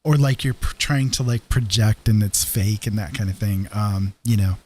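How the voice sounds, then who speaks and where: A man with a low, soft-edged, relaxed voice hosts a casual livestream. He talks in a halting, low-key way, rambling along without any push or projection. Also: slightly rough. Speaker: livestream host.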